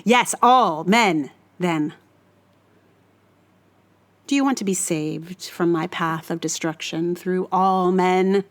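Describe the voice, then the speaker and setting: A woman performing a scripted dramatic monologue in a deliberate, theatrical and menacing manner. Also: fairly low pitch. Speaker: writer reading own monologue.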